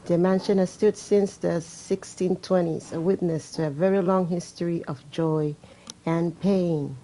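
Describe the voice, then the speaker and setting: Low, mellow woman with wry asides. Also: steady tone, a little slow, rough recording. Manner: sarcastic, wry, swearing asides. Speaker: let's play streamer. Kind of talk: reading game text aloud with casual commentary.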